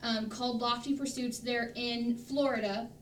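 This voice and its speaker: A woman's voice: steady tone, moderate pace. A woman chatting casually and enthusiastically as she gives recommendations on a livestream panel. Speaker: guest on a charity livestream panel. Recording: roomy, distant mic, rumbling background noise.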